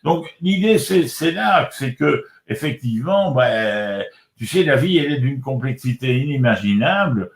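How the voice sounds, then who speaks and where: A man in his sixties, born in Congo, talking as an author and guest in a relaxed spiritual chat, often repeating words and restarting. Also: medium pitch, a little slow. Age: 60s. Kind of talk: casual spiritual conversation. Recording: roomy echo.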